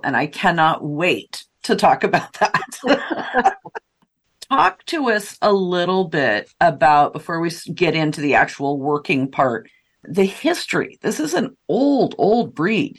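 A woman's voice: on the low side, sing-song, unhurried, slightly rough. A woman hosting a dog podcast, talking in an effusive, emphatic way and laughing often. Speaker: podcast host. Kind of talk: enthusiastic podcast chat.

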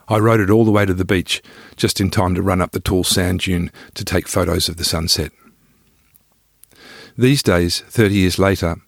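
A man's voice: low-pitched, creaky, breathy edge, no echo, studio quality. A middle-aged man reading his own travel memoir as scripted first-person narration.

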